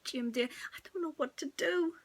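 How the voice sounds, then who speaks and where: A woman's voice: high voice, moderate pace, voice pushed up. A woman voicing a young mother in a bedtime story read aloud. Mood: worried. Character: worried young mother, then delighted, storybook.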